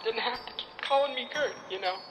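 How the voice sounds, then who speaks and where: An actor in a comedic audio drama playing a frantic, aggrieved captive with no memory, who rambles and complains melodramatically. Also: male voice, very high voice, fairly quick, over music, poor audio. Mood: pleading, sulky. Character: frantic, aggrieved amnesiac captive chained in a silo.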